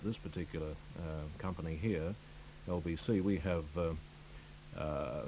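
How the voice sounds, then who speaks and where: An Australian man from Melbourne, a radio newsreader, answering interview questions in a halting way full of ums and uhs. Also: low-pitched, low-quality recording. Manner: hesitant, many ums and uhs. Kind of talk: studio interview chat. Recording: radio broadcast with steady hum.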